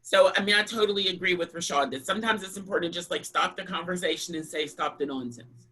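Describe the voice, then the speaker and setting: A woman with a deep voice, speaking as a panelist in a candid discussion, telling stories frankly and with emphasis, over a video call. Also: quick pace, pushed and bright, slightly rough.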